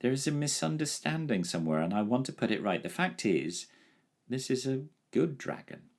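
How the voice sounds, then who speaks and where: A man voicing an excitable young boy in a storybook reading, earnest and pleading. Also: medium pitch, moderate pace, voice pushed up.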